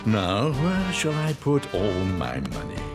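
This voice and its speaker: An actor playing a pompous, greedy, scheming uncle in a comedy audio drama, blustering and theatrical. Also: male voice, on the low side, wildly swooping pitch, slow, over loud music, full character voice. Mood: grandly scheming. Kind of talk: full-cast comedy audio drama acting.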